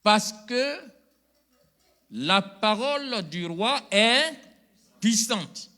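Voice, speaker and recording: High, bright preaching man. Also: expressive, drawn-out words. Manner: call-and-response preaching, rising to loud emphatic peaks. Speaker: pastor. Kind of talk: sermon. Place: church.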